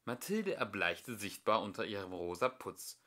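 A young man reading a children's storybook aloud as an amateur narrator, in an expressive storytelling manner. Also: fairly low pitch, moderate pace. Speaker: amateur audiobook reader.

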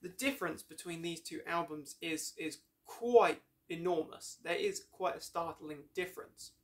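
Young man, slightly high voice, casual reviewer style, enthusiastic, rambling, self-correcting. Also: normal speed. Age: young adult. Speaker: music reviewer, host. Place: small room lined with shelves, indoors.

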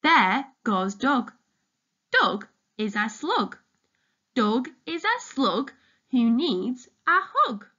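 Female storytime reader for young children: bright, very lively intonation, expressive and deliberate, on a lo-fi home recording. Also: fairly high pitch. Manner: deliberate, addressing young listeners with questions. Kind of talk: expressive children's picture-book read-aloud.

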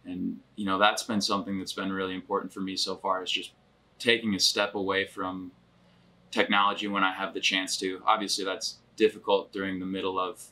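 A young man in his twenties from Michigan speaks in a flat, even tone with a bright, forceful edge. He muses thoughtfully, often pausing on fillers, from a cabin living room. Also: deep voice. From Grand Rapids, Michigan, US. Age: 20s. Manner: reflective, steady, many fillers. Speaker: remote worker. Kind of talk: casual sit-down interview.

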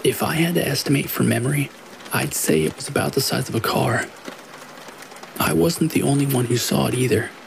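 A man's voice: mid-range voice, brisk, creaky. A man narrating scary stories in a scripted storytelling read, with rain falling behind him. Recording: steady rain noise behind the voice.

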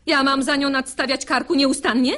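An actress playing a saucy, sharp-tongued young maidservant in a verse comedy for radio, sarcastic and theatrical. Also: female voice, sits high, fairly even intonation, normal speed. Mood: urging, scolding. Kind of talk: classical verse comedy, radio play acting.

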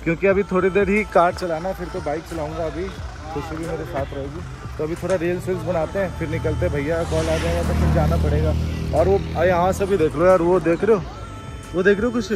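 A man's voice: fairly high pitch, steady tone, fast talker. A young man hosting a casual travel vlog outdoors, chatting to viewers as guys. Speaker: motovlogger host. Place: outdoors on a hill road by a car. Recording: music over outdoor vlog audio.

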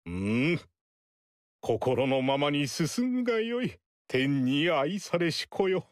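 A man's voice: on the high side, sing-song, no room sound, clean studio sound. An actor playing a grandiose male sorcerer-priest who proclaims heaven's will in a solemn, archaic way. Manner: solemn and pompous, slow declaiming. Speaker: Zhang Jiao. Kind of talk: game voice acting.

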